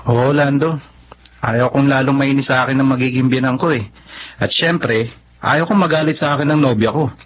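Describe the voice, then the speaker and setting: An actor playing an apologetic, weak-willed young boyfriend in a radio drama, pleading and sheepish. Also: male voice, mid-range voice, steady tone, normal speed, lo-fi sound, a little hiss or hum, close to an everyday voice. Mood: casual, confiding. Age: young adult.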